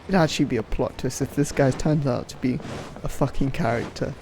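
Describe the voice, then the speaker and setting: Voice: mid-range voice, brisk, noisy background. A gaming streamer giving casual, sweary, self-mocking commentary, sometimes muttering. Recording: game music behind the voice.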